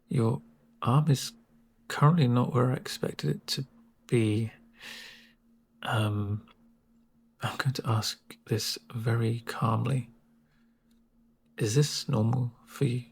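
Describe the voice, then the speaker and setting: Man playing a breathy, slow-talking calm medic. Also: medium pitch, heavily acted. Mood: dry, wry. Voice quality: soft, breathy, hushed voice. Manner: unhurried, soothing, deadpan asides. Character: calm male corporate medic, gentle, reassuring, drily sardonic. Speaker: medic caring for a patient. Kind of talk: soft-spoken ASMR roleplay.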